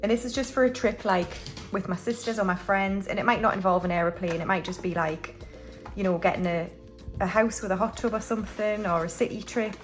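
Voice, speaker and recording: A woman's voice: medium pitch, fairly quick, soft background music. A British woman in her forties, chatting casually and rambling a little as she films a budgeting vlog. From United Kingdom. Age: 40s. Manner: chatty and rambling, with frequent restarts and fillers. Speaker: budgeting vlogger. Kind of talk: casual chat while stuffing cash envelopes.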